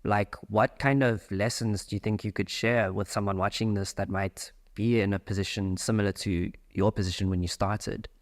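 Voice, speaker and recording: A man's voice: lowish voice, steady tone, normal speed, few pauses. A young man from South Africa hosting a casual podcast interview, explaining his ideas at length. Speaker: podcast host.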